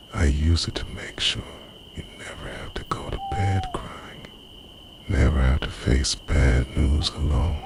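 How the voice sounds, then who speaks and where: A man playing a devoted, obsessive time-travelling boyfriend in an intimate ASMR roleplay, speaking in a breathy, often whispered, gravelly voice with hushed, earnest intensity. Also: a bass voice, heavily acted. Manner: slow, earnest, hushed delivery. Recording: noisy night ambience with crickets, owls.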